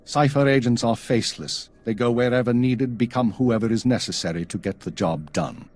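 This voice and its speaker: An actor playing a stern intelligence chief in a video game, with a husky voice and a clipped, authoritative, measured delivery. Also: male voice, medium pitch, a little mellow, natural voice. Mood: grave, commanding. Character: stern male spymaster, commanding and coolly measured.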